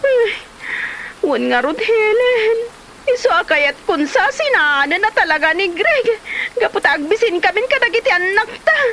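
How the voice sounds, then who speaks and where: A woman's voice: very high voice, very animated, moderate pace, bright, forceful tone, a little hiss or hum, heavily acted. A woman playing an aggrieved young mother and wife in a comedy drama, with a Filipino accent and a dramatic, exclamatory delivery. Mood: tearful complaining.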